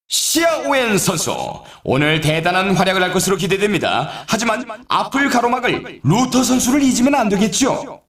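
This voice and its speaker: A man's voice: high voice, a bit bright. A man playing an upbeat snowboard race announcer in a video game, with a dramatic, showy commentary delivery. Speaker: sports announcer. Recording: echoey, distant sound.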